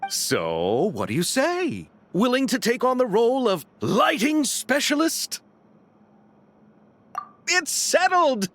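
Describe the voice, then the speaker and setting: A man's voice: extremely high pitch, huge pitch swings, slow, fairly bright tone, a little hiss or hum, exaggerated voice. A man playing an enthusiastic, courteous, flamboyant film crew leader in a video game, with formal phrasing.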